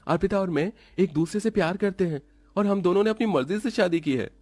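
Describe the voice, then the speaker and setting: A man's voice: high voice, brisk, darkish tone, pitched above the performer's own voice. A man voicing a polite young man in love, the younger brother in a cartoon family story. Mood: earnest. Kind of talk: cartoon story character dialogue.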